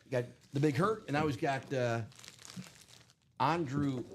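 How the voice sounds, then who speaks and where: A man hosting a live card break stream, chatting loosely with many fillers, his voice going hoarse. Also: medium pitch, unhurried, a little hiss or hum. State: losing his voice. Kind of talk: casual live stream chat.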